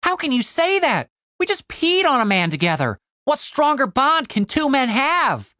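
A woman's voice: high voice, sing-song, moderate pace, sharp tone, no room sound, clean studio sound, pitched above the performer's own voice, heavily acted. A woman voicing a sarcastic, exasperated male halfling adventurer in a fantasy audiobook, crude, whiny and argumentative. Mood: defensive, mocking.

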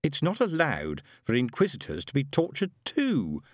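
A man's voice: medium pitch, big pitch swings, normal speed, dry recording, studio quality, pitched above the performer's own voice, close to an everyday voice. A man voicing a boy who leads his gang, firm and mock-solemn, in a storybook reading. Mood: stern. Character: boy gang leader playing solemn, magisterial inquisitor. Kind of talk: audiobook character voice.

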